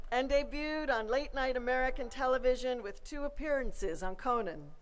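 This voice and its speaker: A woman reads a formal honorary degree citation in a measured, even manner. Her voice is slightly high for a woman. She speaks at an outdoor ceremony. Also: a little hiss or hum, low-quality recording.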